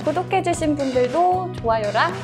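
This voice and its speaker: A woman's voice: high-pitched, expressive, moderate pace, music in the background. A woman co-hosting a health show, chatting casually and reacting in a playful way. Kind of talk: casual chat.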